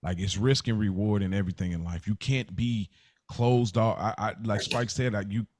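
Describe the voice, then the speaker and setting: A man's voice: on the low side, moderate pace, a little mellow, no room sound, clean studio sound. A man co-hosting a podcast in casual, slangy conversation. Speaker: podcast co-host.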